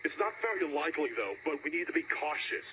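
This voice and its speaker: A man's voice: on the high side, animated, fast, lo-fi sound, a little hiss or hum, natural voice. An actor playing a paranoid, ruthless male restaurant owner who gives urgent orders over a call in a horror audio tape. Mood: commanding. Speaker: villain giving orders by phone.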